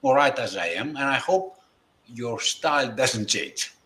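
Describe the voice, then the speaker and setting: A man's voice: medium pitch, lively intonation, measured pace, bright, forceful tone, a little hiss or hum. A man from Greece with a Greek accent, speaking English as a second language in a hesitant, apologetic way with many fillers over a video call. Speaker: guest presenter. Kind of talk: casual livestream chat.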